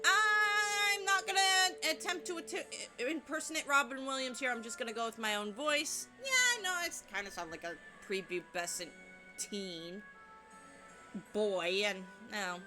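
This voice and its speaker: A woman's voice: high voice, expressive, measured pace. A woman with a light, youthful voice casually narrating a video game playthrough in a chatty, self-deprecating way. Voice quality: light, youthful voice she compares to a teen boy's. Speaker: let's play narrator. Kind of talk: casual gameplay commentary. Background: game music.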